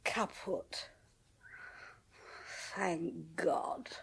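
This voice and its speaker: A sardonic fake medium. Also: female voice, fairly low pitch, extremely slow, breathy edge, heavily acted, rough recording. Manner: theatrical, mocking, drawling. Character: sardonic middle-aged woman medium, a self-confessed fraud. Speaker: clairvoyant Griselda. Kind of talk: 1980s radio drama acting.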